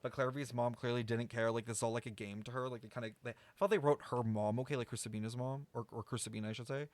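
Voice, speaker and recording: A man's voice: mid-range voice, fairly even intonation, quick pace, no echo. A man giving casual game stream commentary, rambling as he thinks aloud and swears freely.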